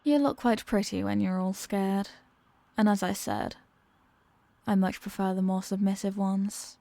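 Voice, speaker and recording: A woman's voice: medium pitch, fairly even intonation, measured pace, vocal fry, no room sound. A woman playing a dominant, playfully cruel female villain kidnapper in an ASMR audio roleplay, taunting and commanding the listener. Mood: sultry, possessive. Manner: taunting, commanding, hushed threats mixed with mocking teasing.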